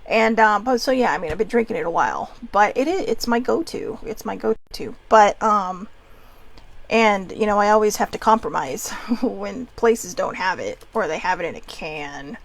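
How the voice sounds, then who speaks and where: A woman's voice: medium pitch, normal speed, gravelly. A woman from Hawaii in her thirties, doing a casual oracle card reading from home, chatty and rambling with frequent asides.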